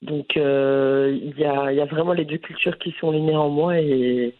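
A woman from Brussels with a Brussels French accent, answering interview questions in an animated, frank way with frequent restarts. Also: deep voice, moderate pace. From Brussels, Belgium. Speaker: content creator guest. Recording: phone-line audio.

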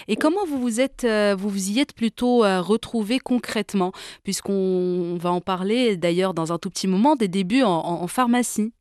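A woman's voice: mid-range voice, moderate pace, hardly any pauses, a little mellow, dry recording. Dry-voiced woman hosting an interview. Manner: friendly, brief affirming interjections. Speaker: interview host.

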